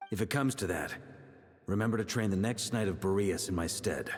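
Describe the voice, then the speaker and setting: A man playing a calm, fatherly, seasoned knight commander in a video game, measured and earnest. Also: lowish voice, lightly acted. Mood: solemn, reassuring. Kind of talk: video game character acting. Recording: long reverb, faint music behind.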